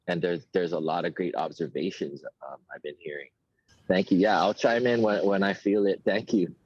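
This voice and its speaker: A man chiming in during an online conversation circle, halting and friendly, with many restarts. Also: deep voice, fairly even intonation, darkish tone, breathy edge, dry recording. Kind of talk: casual sharing. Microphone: video call. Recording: dull lo-fi video call audio.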